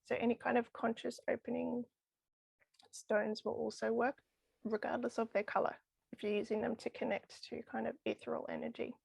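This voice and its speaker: A woman's voice: fairly high pitch, flat delivery, fairly quick, creaky, a little mellow, husky, dry recording. A woman losing her voice, talking enthusiastically about crystal healing as a podcast guest. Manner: enthusiastic, chatty with frequent laughs. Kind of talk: casual podcast interview.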